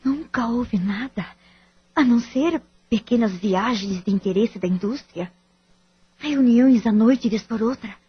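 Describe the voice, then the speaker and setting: A woman acts out a bitter yet protective wife and mother in an audio drama. Her voice is very husky and breathy and sits fairly high, on a dull, lo-fi recording. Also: measured pace, dry recording. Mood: bitter, anguished.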